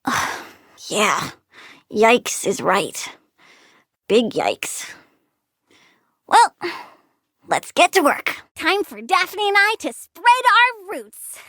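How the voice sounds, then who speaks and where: A woman's voice: high-pitched, very animated, drawn-out words, long pauses, bright, forceful tone, no echo, clean studio sound, heavily acted. A woman playing a childlike young plant-girl villain in a video game, whimsical and moody, cheerfully murderous. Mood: sulky, brisk. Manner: girlish, whimsical, sulky, gleefully menacing. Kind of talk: video game character acting.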